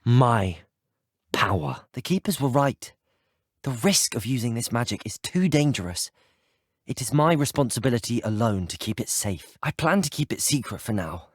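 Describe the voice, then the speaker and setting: A man's voice: medium pitch, big pitch swings, moderate pace, breathy edge, no room sound, studio quality. A British man playing a polite, earnest, determined teenage student wizard in a video game, speaking articulate scripted dialogue. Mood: resolute. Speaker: video game player character.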